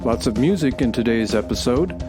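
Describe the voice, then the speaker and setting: A man's voice: medium pitch, expressive, brisk. A man, the show's announcer, reading the closing credits in a friendly, lightly self-deprecating way. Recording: music playing behind.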